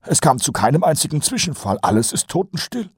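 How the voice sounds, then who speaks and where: A man's voice: sing-song, fairly quick, slightly rough, no room sound, studio quality, full character voice. A man doing an eager, worried sergeant. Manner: eager, higher-pitched, a bit worried. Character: anxious, dutiful male sergeant.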